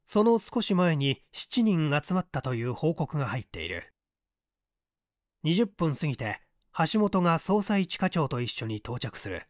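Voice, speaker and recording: A man's voice: on the high side, a little mellow, no echo, studio quality. A man narrating mystery fiction from a script as an audiobook reader, in a dramatic manner.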